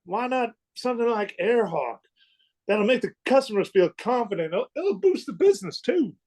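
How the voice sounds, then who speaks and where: A man's voice: high-pitched, very animated, moderate pace, darkish tone. A man playing an earnest, insistent airplane mechanic in a radio play, with a stumbling delivery. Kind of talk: old-time radio play acting.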